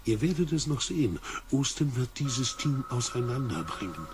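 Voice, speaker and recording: A man's voice: medium pitch, big pitch swings, moderate pace, loud music behind the voice. A man playing a bitter, distrustful expedition scientist in a science fiction radio play. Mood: bitter, foreboding.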